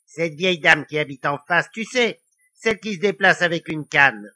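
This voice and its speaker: A man's voice: on the high side, expressive, normal speed, bright, forceful tone. A man voicing a mischievous, boastful ancient little devil in a children's story, with a sneering, theatrical manner. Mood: scheming. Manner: sneering, theatrical, cackling taunts. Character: mischievous, boastful five-thousand-year-old little devil, male.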